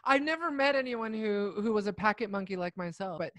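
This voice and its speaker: A woman's voice: medium pitch, lively intonation, brisk, fairly bright tone, dry recording. An American woman in her thirties hosting a casual interview, bubbly and enthusiastic, on a studio microphone. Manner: bubbly, enthusiastic, laughs a lot. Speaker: host. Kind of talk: casual video-call interview. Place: indoor studio. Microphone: large studio mic.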